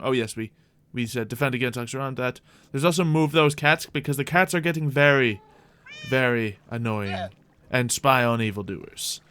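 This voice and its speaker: A man's voice: on the high side, fairly bright tone. A man hosting a livestreamed game roleplay, giving whimsical, rambling in-character commentary and talking to his audience in a theatrical way. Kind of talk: in-character gameplay commentary, chatting with viewers.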